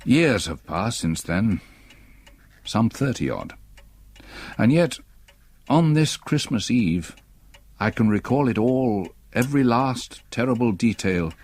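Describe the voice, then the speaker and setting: An actor playing a weary, haunted older British gentleman, grave and deliberate, in a radio drama. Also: medium pitch, expressive, no room sound. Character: haunted, weary old retired solicitor, tender with wife. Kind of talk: radio drama acting and narration.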